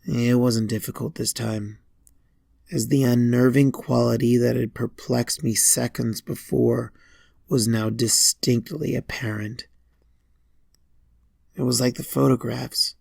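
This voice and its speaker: A man reading a scripted first-person horror story in a deliberate way, pausing between short phrases. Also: medium pitch, flat delivery, vocal fry, darkish tone, husky, no echo, clean studio sound. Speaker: fan fiction narrator.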